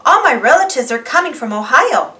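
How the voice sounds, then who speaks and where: A woman's voice: high voice, a little fast, distant mic, pitched above the performer's own voice, heavily acted. A woman voicing an eager, earnest young schoolboy in a children's storybook. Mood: dismayed. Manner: earnest, excitable.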